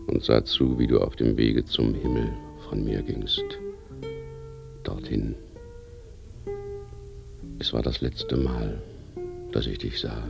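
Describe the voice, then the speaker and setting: An actor in a radio play, playing a brooding, ageing man who slowly and sadly remembers his lost beloved. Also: extremely low pitch, vocal fry, full character voice. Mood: quiet longing. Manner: slow, melancholy, reflective. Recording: muffled radio drama with guitar behind.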